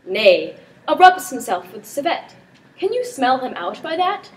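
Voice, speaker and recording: A teenage girl playing a teasing, excitable prince in an amateur Shakespeare performance. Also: high-pitched, unhurried. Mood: playful teasing. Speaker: student performer. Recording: distant mic with background noise.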